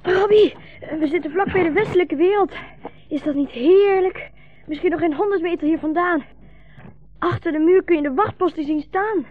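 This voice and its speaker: A woman's voice: extremely high pitch, moderate pace. A woman playing a trusting, eager young boy who guides an old man, in 1960s radio drama style. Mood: eager, pleading. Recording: thin, phone-like 1960s radio recording.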